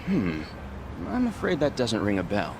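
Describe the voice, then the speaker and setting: A man's voice: lowish voice, huge pitch swings, normal speed, noisy background. An actor playing a polite, weary male restaurant owner in a video game cutscene. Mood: resigned, earnest.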